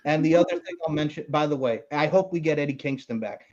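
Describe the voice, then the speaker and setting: A man from New York with a New York accent, co-hosting a wrestling podcast, ranting loudly with constant swearing and mocking impressions. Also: a little high, fast, lo-fi sound. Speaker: podcast co-host. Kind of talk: profane wrestling podcast rant.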